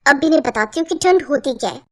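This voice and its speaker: Woman voicing high-pitched, exasperated young daughter-in-law. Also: animated, quick pace, slight background noise. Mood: fed up. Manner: complaining, sharp-tongued. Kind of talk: Hindi cartoon voice acting.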